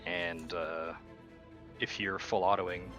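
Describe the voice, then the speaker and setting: A man with a low voice and a bright, hard edge plays a tabletop game. He talks casually about his moves and the rules, over faint music. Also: flat delivery, slow pace.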